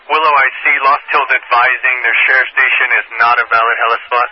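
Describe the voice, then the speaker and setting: Fast-talking male radio dispatcher. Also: medium pitch, fairly even intonation, muffled recording. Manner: clipped, matter-of-fact, repeats details back. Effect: two-way radio. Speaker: fire department radio dispatcher. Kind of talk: procedural emergency radio dispatch. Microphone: radio dispatch channel. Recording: noisy band-limited scanner radio, clicks.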